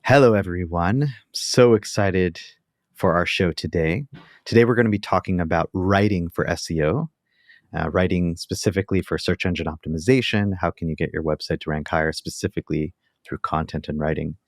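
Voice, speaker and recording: Deep-voiced enthusiastic male podcast host. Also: a little fast, no room sound, studio quality. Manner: enthusiastic, filler-heavy with "like" and "you know". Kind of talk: podcast hosting and interviewing.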